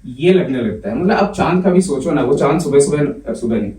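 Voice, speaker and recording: A young man on a comedy club stage, reciting poems in a measured way and bantering quickly between them. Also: a little high, lively intonation, dark tone. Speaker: poet and comedian. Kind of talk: poetry recital with stand-up banter. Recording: roomy club sound from a distance.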